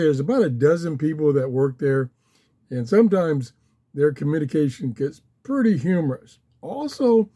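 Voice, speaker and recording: A man giving a casual, unscripted explainer as a hobbyist host, speaking haltingly in short phrases with pauses. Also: on the high side, dark tone. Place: small home office.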